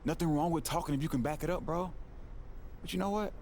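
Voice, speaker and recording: A man's voice: a little high, fast, a little mellow, a little hiss or hum, natural voice. An actor playing a cocky young store clerk who talks down to a player he doesn't rate. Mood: taunting. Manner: taunting, smug.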